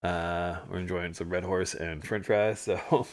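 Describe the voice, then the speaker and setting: A man co-hosting a livestream, chatting casually through stories and photos from a trip, animated when he retells moments. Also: deep voice, a little slow. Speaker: livestream co-host. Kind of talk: casual storytelling chat over travel photos.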